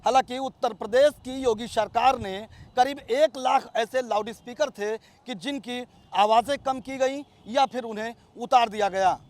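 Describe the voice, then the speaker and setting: Man, field reporter, very high pitch, TV news piece to camera. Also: moderate pace, few pauses.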